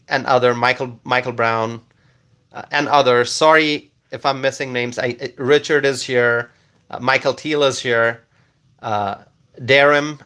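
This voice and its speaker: Bright-voiced male live stream host. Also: medium pitch. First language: Bengali. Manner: friendly, thanks viewers by name, halting with fillers. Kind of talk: casual live stream chat reading comments.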